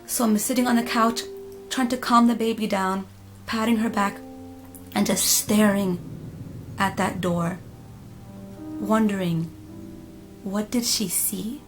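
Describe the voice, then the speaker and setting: A woman telling a spooky personal story casually, in a dramatic, emphatic manner with pauses. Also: mid-range voice, fairly even intonation. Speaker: storytime vlogger. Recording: music playing behind the voice. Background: background music.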